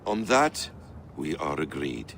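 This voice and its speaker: An actor playing a composed, worldly assassin mentor in a video game, measured and self-assured in delivery. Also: male voice, a bass voice, very animated, creaky, background noise, full character voice. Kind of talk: dramatic video game acting.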